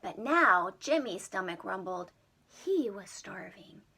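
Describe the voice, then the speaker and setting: A woman reading a storybook to young children as a preschool storyteller, dramatic and expressive. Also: mid-range voice, takes it slowly, vocal fry, husky. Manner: dramatic, with whispered asides to young listeners.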